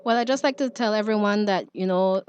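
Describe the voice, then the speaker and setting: A woman's voice: medium pitch, fairly quick, a little mellow, smooth, lo-fi sound. A woman speaking casually and explaining things as a guest judge on a radio show. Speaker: studio guest and quiz judge.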